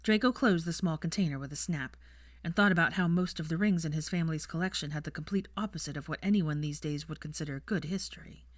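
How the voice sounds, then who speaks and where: A woman's voice: sits low, fast talker, dry recording, clean studio sound. A woman reading fiction aloud as a scripted story narration.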